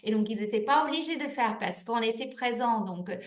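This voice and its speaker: A woman's voice: a little high, expressive, fairly quick. A woman in her thirties answering interview questions in a chatty, candid way, with lots of restarts and fillers. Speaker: network marketing manager, guest. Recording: echoey room.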